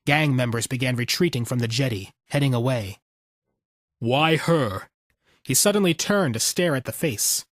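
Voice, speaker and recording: A man's voice: medium pitch, lively intonation, brisk, hardly any pauses, no room sound, clean studio sound. A man reading scripted fiction as an audiobook narrator.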